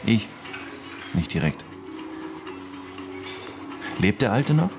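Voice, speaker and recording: A man's voice: fairly low pitch, big pitch swings, very slow, gravelly, over music, exaggerated voice. An actor playing an evasive, irritable man under questioning in a German radio drama, talking in a curt, slangy way. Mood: irritable, accusing. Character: defensive, evasive man questioned about dead classmate.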